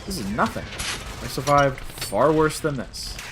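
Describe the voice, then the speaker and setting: A man playing an excitable, bantering time-traveling alien in a comic audio drama, theatrical and mock-pompous. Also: mid-range voice, a little slow, noisy background. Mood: breezy.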